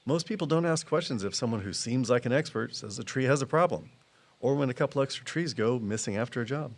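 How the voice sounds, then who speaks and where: A guarded tree expert in an audio drama. His voice is mid-pitched and natural, with a plainspoken, practical delivery. He comes across as a loyal, careful colleague. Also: animated, fast. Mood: matter-of-fact.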